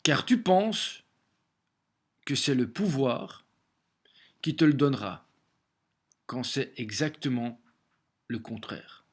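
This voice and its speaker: A man with a cold, talking alone to his camera at home in a halting way, with many repeated words and restarts. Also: mid-range voice, expressive, husky. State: has a cold. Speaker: vlogger sharing daily messages. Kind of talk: casual home video monologue. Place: small room at home, facing a window.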